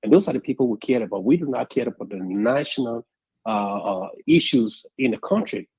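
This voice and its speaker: A man calling in to a radio talk show, speaking in a deliberate, measured way that builds to emphatic points. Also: medium pitch, expressive, a little mellow, slightly rough, dry recording, muffled recording. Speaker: caller. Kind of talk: call-in radio commentary.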